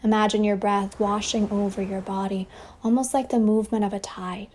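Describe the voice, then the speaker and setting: A woman leading a guided meditation as a teacher, speaking softly and breathily in a soothing way with long pauses, over ocean waves. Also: medium pitch, little pitch movement. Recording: faint ambient wave noise behind voice. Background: ocean waves sound effect.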